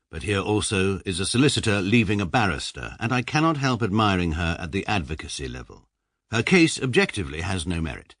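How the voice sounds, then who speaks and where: Pompous middle-aged barrister, very low husky tone, dry close sound, sarcastic and measured. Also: male voice, a bit bright, dry recording, full character voice. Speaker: barrister narrating his own story. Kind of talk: radio comedy drama narration.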